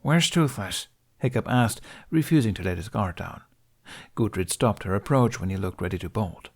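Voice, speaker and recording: A man reading an audiobook story as narrator, in expressive scripted narration. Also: on the low side, normal speed, breathy edge.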